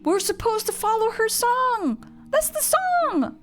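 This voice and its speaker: A young woman streaming her reaction to a game story, chatting casually and swinging between squeals and flat rambling asides. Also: very high-pitched, slow. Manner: excitable, gasps and squeals, then drops to flat rambling. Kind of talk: casual live reaction commentary. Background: game music.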